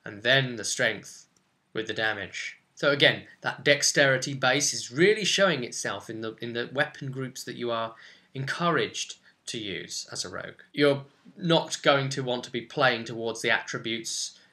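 Bright-voiced young man explaining game rules. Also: mid-range voice, normal speed. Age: 20s. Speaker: presenter of a tabletop roleplaying tutorial. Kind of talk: informal explanatory talk to camera. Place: small furnished room at home, indoors.

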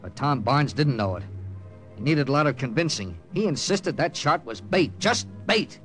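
An actor playing a resolute, hard-boiled wartime captain in an old-time radio drama, speaking and narrating in a firm, clipped, dramatic way. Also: male voice, medium pitch, big pitch swings, moderate pace, soft background music, close to an everyday voice. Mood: grave, dramatic.

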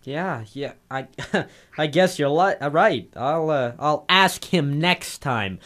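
An actor playing a brash, wisecracking detective's assistant and narrator, breezy and cheeky, in a 1940s radio drama. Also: male voice, on the high side, lively intonation, slow pace, fairly bright tone. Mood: cheeky, insistent.

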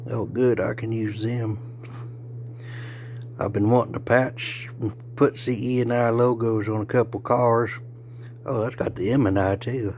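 A man, hoarse and losing his voice, chatting in a folksy, joking way while vlogging on a phone held at arm's length. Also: mid-range voice, steady tone, measured pace, a little mellow, a little hiss or hum. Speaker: railfan vlogger. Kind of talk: casual folksy vlog. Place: outdoors by a car, then indoors at home.

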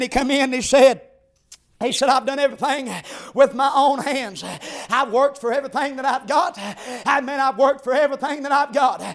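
A preacher with a very high voice delivers a revival sermon in a church. He shouts in a rhythmic, chanting cadence, punctuating nearly every phrase with "amen" and a drawn-out "ah.".

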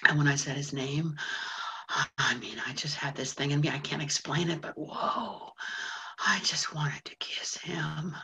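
An actress playing a lonely, lovesick woman who mops floors at a train station, talking bluntly and haltingly with plenty of swearing. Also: mid-range voice, bright, forceful tone. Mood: breathless. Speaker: actor in a monologue. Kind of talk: stage monologue.

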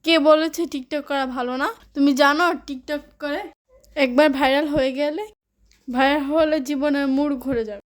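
Woman acting as teenage girl, cartoon, high-pitched, theatrical, whiny, headstrong. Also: fairly even intonation, moderate pace, close to an everyday voice. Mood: defiant, huffy.

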